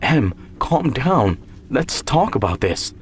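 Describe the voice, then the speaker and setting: A man's voice: medium pitch, big pitch swings, takes it slowly, pitched above the performer's own voice. A man playing a troubled, bewildered male surgeon who tries to reassure, in an audio story. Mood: pleading.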